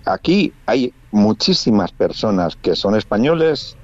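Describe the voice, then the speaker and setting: A man's voice: fairly low pitch, sing-song, unhurried, darkish tone. A man from Spain, giving a casual radio report with frequent fillers and restarts. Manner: conversational, many restarts and fillers. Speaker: radio correspondent. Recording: muffled lo-fi radio audio.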